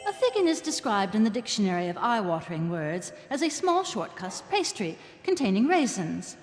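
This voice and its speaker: A middle-aged woman playing a dry, measured narrator, reading scripted narration in live radio theatre. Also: medium pitch, big pitch swings, close to an everyday voice. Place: stage in a hall. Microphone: stand microphone. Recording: echoey live stage hall.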